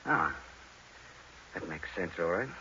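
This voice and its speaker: An actor playing a wry, tough private detective who narrates a 1940s radio noir, deadpan and laconic. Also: male voice, low voice, slow, slight background noise, exaggerated voice. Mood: sardonic. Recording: muffled vintage radio recording, dramatic music.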